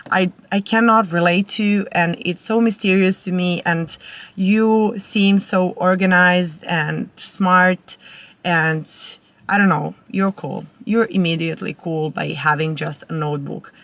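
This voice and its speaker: A woman's voice: fairly low pitch, a little slow, a bit bright, dry recording. A young woman, a native Serbian speaker with a Serbian accent, chatting casually to camera in an informal, rambling way as a vlogger. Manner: informal, rambling with self-corrections.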